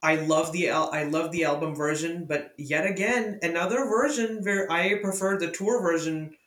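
A young man whose first language is Urdu or Hindi chats with enthusiasm, his voice sitting a little high. He hosts a podcast, and the sound carries a roomy echo. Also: moderate pace. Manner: enthusiastic, lively, many fillers. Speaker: podcast host. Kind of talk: casual music discussion. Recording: echoey room.

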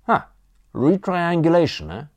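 A man plays a gaunt old academic in a storybook. He is suspicious and quick to challenge, with a loud, raised voice that swings in pitch. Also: sing-song. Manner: sharp, challenging. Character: suspicious, cadaverously thin, angry elderly college don. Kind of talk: audiobook character dialogue.

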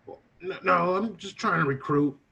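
A man's voice: sits high, moderate pace, vocal fry, dull audio, noisy background. A man improvising a pushy supervillain scarecrow who wants to lead and recruit, in a comedy sketch. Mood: earnest.

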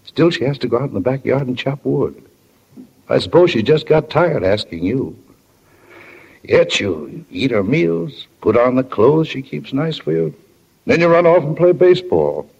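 A man's voice: mid-range voice, sing-song, moderate pace, creaky, darkish tone, husky, full character voice. A man playing a stern but kindly small-town father in a 1940s radio drama. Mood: gently reproving. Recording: dull 1940 radio recording.

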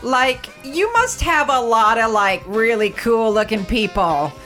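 A woman's voice: fairly high pitch, lively intonation, a little slow, fairly bright tone, soft background music. A woman co-hosting a radio show in casual chat, with a lisp and a gushing, chatty way of talking.

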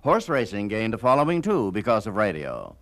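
Male narrator with lively, rangy delivery. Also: fairly low pitch, brisk. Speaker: radio documentary host. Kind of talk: scripted narration.

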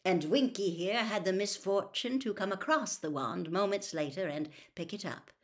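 A woman's voice: mid-range voice, normal speed, close to an everyday voice. A woman voicing a reasonable, kindly male wizard who argues firmly as a peacemaker in an audiobook story. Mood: reasoning.

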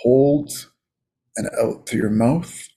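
A man leading a guided meditation as a coach, in a soothing, casual, unhurried manner. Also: medium pitch, dark tone, husky.

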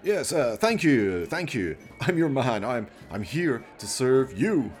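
A man's voice: mid-range voice, sing-song, slow, faint music behind, pitched above the performer's own voice. A man voicing a vain, smarmy politician in his sixties in a radio play, speechifying in a hesitant, stammering, self-important way. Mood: smug, glad-handing.

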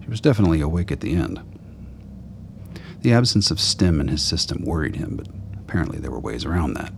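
A man's voice: rumbling low, big pitch swings, fast talker, vocal fry, slight background noise. A man narrating a radio play as a scripted story in the third person.

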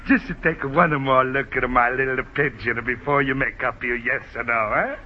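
A man's voice: medium pitch, fairly even intonation, normal speed, close to an everyday voice. An actor playing a bossy, scheming Italian immigrant man with an Italian accent and broken English, in 1940s radio comedy style. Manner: blustery, bullying, broken English. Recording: dull old radio broadcast with faint hiss.

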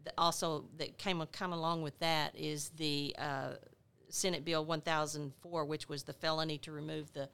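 A woman nurse advocate speaks in a podcast interview. Her voice is gravelly and bright, yet smooth. She pauses often, restarting her sentences as she works through details. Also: sits low, fairly even intonation. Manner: halting, frequent "uh" restarts while recalling details. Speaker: nurse educator and health advocate.

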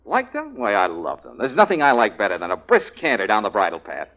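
Wildly animated, blustering radio detective. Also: male voice, on the low side, a little fast. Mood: boastful. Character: bluffing, eager male private detective, boastful and flustered. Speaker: radio drama lead actor. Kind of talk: 1940s radio comedy-mystery acting. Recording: muffled old radio recording.

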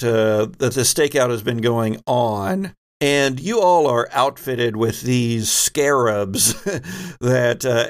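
Man narrating a tabletop game, halting delivery. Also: medium pitch. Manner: halting, pauses mid-sentence to find words. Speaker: game master and host.

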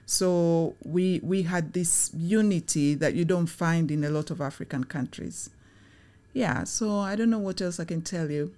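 A middle-aged woman from Tanzania, answering interview questions in a reflective, unhurried way with frequent fillers and restarts. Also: on the low side, mellow. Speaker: guest, chief operating officer.

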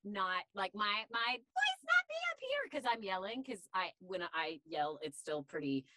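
A woman voice actor with a deep voice, talking candidly and chattily in an interview, with many fillers. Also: big pitch swings, measured pace, pushed and bright, dry recording. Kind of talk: casual interview chat.

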